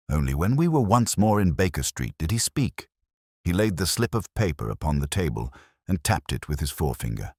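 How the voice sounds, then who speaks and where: Super deep guy reading a detective story, dramatic and measured, lively pitch swings. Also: no echo, studio quality. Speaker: audiobook narrator.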